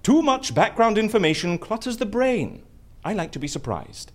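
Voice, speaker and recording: A man's voice: high-pitched, very animated, moderate pace, very noisy. An actor playing a stubborn, breezy, absent-minded male interviewer in an audio drama, hesitant when caught out. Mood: self-assured.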